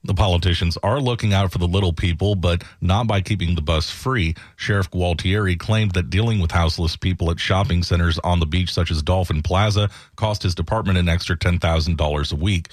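Male news reporter, very low register, scripted narration in a dry close space. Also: brisk, hardly any pauses, no echo.

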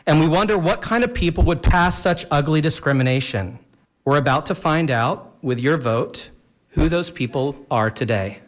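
A man's voice: a little high, expressive, normal speed. A man giving a pointed, admonishing speech as a public commenter.

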